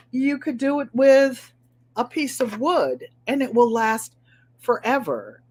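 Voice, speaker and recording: A middle-aged woman with a New England accent and a nasal voice, hosting a casual live craft stream and chatting to viewers as she shows her work. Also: a little high. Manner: chatty, unhurried, talks to viewers by name. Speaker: craft livestream host.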